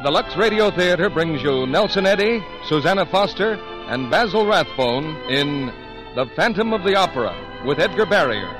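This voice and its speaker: Man, American accent, high voice, vintage radio announcing, old broadcast recording, music. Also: sing-song, normal speed, low-quality recording.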